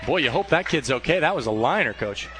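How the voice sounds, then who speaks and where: A man doing radio play-by-play for a baseball game, calling pitches in a steady flow that lifts when a play unfolds. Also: quick pace. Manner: steady calls, rising excitement on plays. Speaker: play-by-play announcer.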